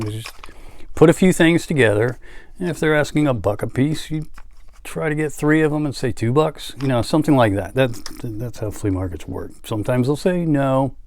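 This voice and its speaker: A man chatting casually as a collector and vlogger, halting and trailing off into asides as he shows items. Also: mid-range voice, a little mellow. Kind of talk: casual show-and-tell chat.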